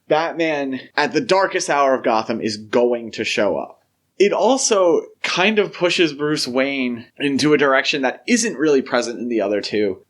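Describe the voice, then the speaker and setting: A man co-hosting a podcast in a casual discussion style, speaking informally and peppering his sentences with "like". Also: mid-range voice. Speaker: podcast co-host.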